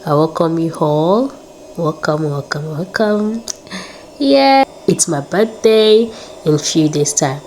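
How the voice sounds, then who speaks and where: A woman's voice: sits low, animated, takes it slowly, darkish tone, a little hiss or hum. A woman in her late twenties vlogging in a casual, upbeat way, repeating words and adding prayerful asides. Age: 20s. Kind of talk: casual faith-filled vlog talk.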